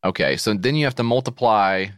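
An American man co-hosting a comedy podcast, sarcastic and jokey, reading an ad in mock-excited bursts. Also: lowish voice, brisk, no room sound, studio quality. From United States. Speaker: podcast co-host. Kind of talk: comedic podcast banter and read-out ad.

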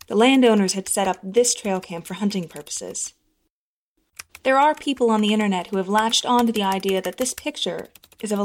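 A woman's voice: medium pitch, lightly acted. A woman narrating a first-person horror story as a troubled woman confessing a haunting memory. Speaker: guest narrator.

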